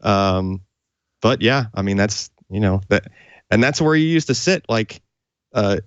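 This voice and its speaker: Low-voiced man explaining tech conversationally. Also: animated, measured pace, clear, resonant tone, dry recording, muffled recording. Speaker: tech show co-host. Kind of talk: unscripted technical explanation, conversational.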